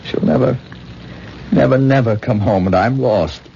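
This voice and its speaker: An actor playing a vain, lovesick, obsessive stage actor in a 1970s radio drama, with halting, repetitive, theatrical delivery. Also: male voice, medium pitch, gravelly, mellow, slight background noise. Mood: resigned despair. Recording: muffled vintage radio recording, music behind.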